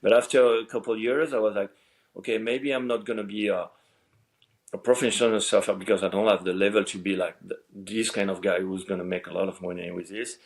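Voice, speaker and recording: A middle-aged man, a surf coach guest who grew up in Senegal, telling his story in halting English with many restarts. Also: on the low side, fairly even intonation. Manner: halting, restarts words, speaks non-native English. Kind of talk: casual storytelling interview.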